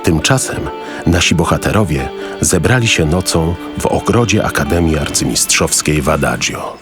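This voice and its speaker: A man's voice: a bass voice, expressive, normal speed, music in the background. A man narrating a children's audio drama as its storyteller. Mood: storytelling.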